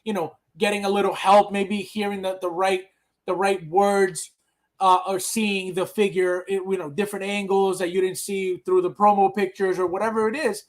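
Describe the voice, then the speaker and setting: A man's voice: sits high, flat delivery, normal speed. A man from Colombia hosting a casual podcast chat, rambling and acting out the remarks of other people. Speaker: podcast host.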